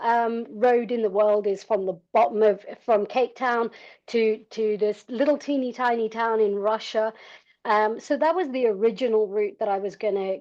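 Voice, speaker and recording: Woman from south africa w/ highish voice, chatting candidly about herself, slightly dull lo-fi audio. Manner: conversational, frank, self-deprecating. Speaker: podcast guest, endurance cyclist. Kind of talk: candid personal storytelling.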